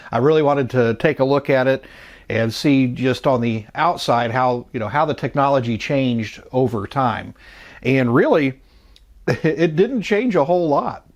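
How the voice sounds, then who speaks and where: A middle-aged man hosting a vintage electronics show, explaining things in a casual, conversational and unhurried way with little asides. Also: mid-range voice. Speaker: host of a vintage electronics channel. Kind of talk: casual product showcase talk.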